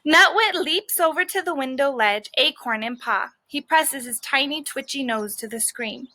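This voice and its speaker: A woman teacher reads a children's story aloud in a high voice, recorded close and dry. She is expressive, leans on key words, and breaks off to explain things to young listeners. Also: normal speed, dry recording.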